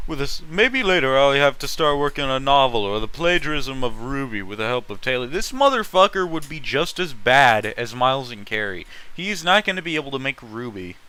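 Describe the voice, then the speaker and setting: A man's voice: medium pitch, lively intonation, brisk, few pauses, pushed and bright, smooth, rough recording. A man reading fan fiction aloud and adding casual, blunt, opinionated commentary with heavy swearing.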